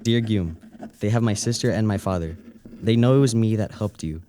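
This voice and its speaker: A man's voice: lowish voice, a little fast, warm tone, a little hiss or hum, natural voice. A man playing an earnest, courteous and gallant young man in a radio play.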